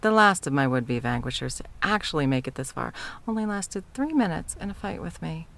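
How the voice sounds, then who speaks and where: A woman's voice: low-pitched, sing-song, fairly quick, vocal fry, fairly bright tone, full character voice. A woman playing a haughty, sadistic sorceress tyrant in a fantasy audio roleplay, with a theatrical, mocking and condescending delivery. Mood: haughty, taunting. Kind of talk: scripted ASMR fantasy roleplay.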